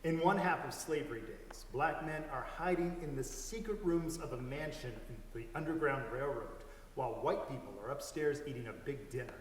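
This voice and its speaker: An earnest, observant boy read by a man narrates a storybook at a measured gait. The voice is a little high. It sounds distant in a reverberant hall, with slight hiss. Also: natural voice. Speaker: reader in a dramatic story reading. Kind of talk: dramatic storybook reading. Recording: echoey hall from afar, faint hiss.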